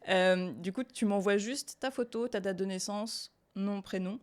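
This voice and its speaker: A young adult woman chatting casually as an interview guest, relaxed and talkative, often trailing off with fillers and laughing easily. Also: mid-range voice, unhurried. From Italian descent, raised in France. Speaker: guest, tarot reader and illustrator.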